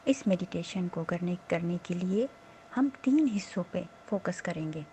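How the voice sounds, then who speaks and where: A woman meditation instructor leading a slow guided relaxation in a soothing, unhurried, gently instructing manner with pauses. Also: lowish voice, lively intonation, a little hiss or hum.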